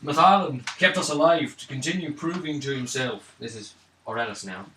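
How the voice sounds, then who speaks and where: A man voicing a weary, earnest elf prisoner in a tabletop role-play, solemn and measured, in a roomy recording. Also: medium pitch, close to an everyday voice. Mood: grave, weary. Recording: roomy, distant mic, faint noise.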